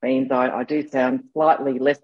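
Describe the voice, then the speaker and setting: Hoarse husky Australian woman in her sixties. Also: a bass voice, flat delivery, normal speed, no room sound, dull audio. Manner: warm, rambling, with frequent restarts. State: hoarse from a cold. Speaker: masterclass presenter. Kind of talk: chatty online masterclass talk. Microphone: video call.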